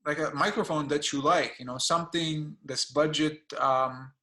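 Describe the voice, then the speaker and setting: A man's voice: on the high side, fairly even intonation, moderate pace, pushed and bright, echoey room. A man hosting a casual music interview, asking questions hesitantly with many fillers and encouraging his guest. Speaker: radio and blog host.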